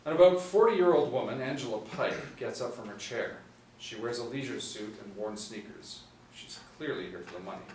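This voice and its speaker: A man's voice: mid-range voice, lively intonation, normal speed, darkish tone. A middle-aged man reading stage directions as scripted narration at a screenplay table read. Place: small indoor room, seated group reading. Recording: roomy, distant mic, faint room noise.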